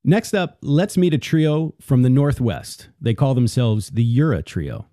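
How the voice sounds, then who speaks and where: A man hosting a radio show, interviewing a young guest in a gentle, encouraging way. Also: medium pitch, expressive, unhurried.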